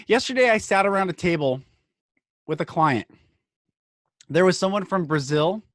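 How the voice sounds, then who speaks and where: A man's voice: high voice, lively intonation, fairly bright tone, no room sound, studio quality. Earnest man giving an inspirational podcast monologue. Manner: earnest, emphatic, dramatic pauses and short phrases. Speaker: podcast host and speaker.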